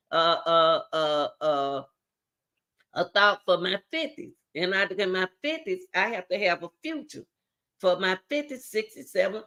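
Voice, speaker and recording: A woman's voice: medium pitch, a bit bright. A middle-aged woman, hosting her own channel and giving a preachy, repetitive motivational talk straight to her viewers. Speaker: channel host.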